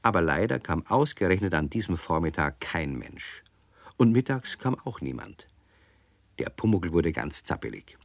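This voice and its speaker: A man's voice: low voice, sing-song, moderate pace, vocal fry, no echo. A man narrating a scripted children's story as the narrator of a radio play.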